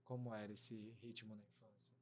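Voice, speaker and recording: A man's voice: on the low side, flat delivery, normal speed. A man co-hosting a podcast interview, welcoming the guest and asking open questions to draw her out. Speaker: podcast co-host.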